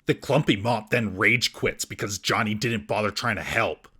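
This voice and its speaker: A man's voice: lowish voice, moderate pace, loud music behind the voice. A man narrating a scripted comedic movie roast as host, in a sarcastic, mocking manner.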